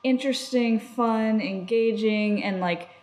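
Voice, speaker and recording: A woman's voice: fairly high pitch, unhurried, a little mellow. A woman co-hosting a podcast, chatting casually and informally. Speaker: podcast co-host. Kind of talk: casual conversational podcast chat.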